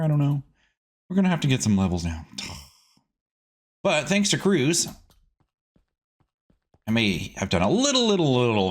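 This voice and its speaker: A man giving casual gameplay commentary as a streaming host, chatty and animated, rambling as he goes. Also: fairly high pitch.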